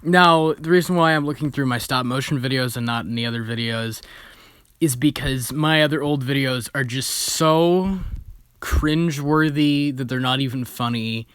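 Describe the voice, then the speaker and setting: Bright-voiced young man, casual and amused. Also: medium pitch, moderate pace, clear, resonant tone, no echo, studio quality. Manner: informal, amused, self-deprecating. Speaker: video creator and host. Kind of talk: casual reaction commentary.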